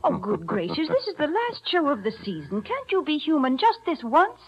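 An actress playing a prim, sweet woman who pleads gently, in 1940s radio acting. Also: high-pitched, huge pitch swings, moderate pace. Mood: pleading. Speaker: show's sponsor announcer. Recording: dull old radio recording.

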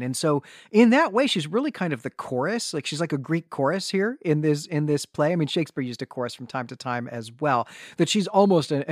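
A man co-hosting a podcast, retelling and discussing a story in a casual, animated way with a readiness to chuckle. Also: a little high, no room sound, studio quality. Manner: animated storytelling, often chuckling through sentences. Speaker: podcast co-host. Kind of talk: casual conversational literary discussion and plot retelling.

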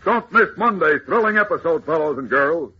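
A man's voice: on the high side, animated, moderate pace, husky. A man narrating an old radio adventure serial in a dramatic, urgent, theatrical way, on a muffled, noisy recording. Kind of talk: 1940s radio scripted narration.

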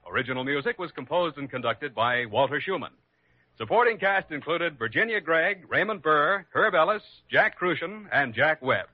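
A man's voice: on the high side, animated, normal speed, no echo. An American man announcing a vintage radio drama in a formal scripted style. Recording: muffled vintage radio recording. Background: music.

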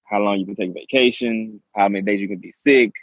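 A man from Southeast Texas, speaking quickly in a low, flat voice as he tells his story. The sound is dry and somewhat dull and lo-fi. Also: no room sound. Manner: fast, eager storytelling. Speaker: guest, real estate investor. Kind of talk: casual interview talk.

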